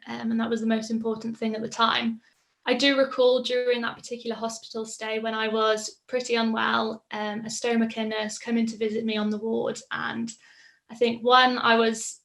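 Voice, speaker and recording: A woman's voice: fairly high pitch, creaky, fairly bright tone. A woman, telling her personal story in a casual, reflective way, with frequent ums. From Mid Wales. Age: 20s. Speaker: patient sharing her story. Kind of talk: personal testimonial.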